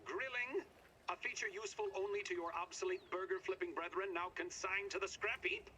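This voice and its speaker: An actor playing an impatient, condescending scientist in a game audio log, curt and commanding with dry pomposity. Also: male voice, high voice, steady tone, fairly quick, pushed and bright, a little hiss or hum. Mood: impatient, scornful. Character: impatient, condescending male scientist, dryly sardonic.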